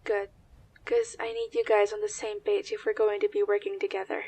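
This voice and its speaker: A woman's voice: mid-range voice, little pitch movement, brisk, a little mellow, slightly rough, lightly acted. A woman playing a frank, teasing young senior comrade in an anime-style audio drama, speaking casually and conversationally.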